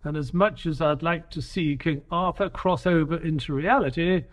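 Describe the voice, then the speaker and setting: A man as a high-voiced, sceptical detective's sidekick. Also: normal speed, natural voice. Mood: doubting. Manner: sceptical, expressive. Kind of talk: scripted audio drama dialogue and narration.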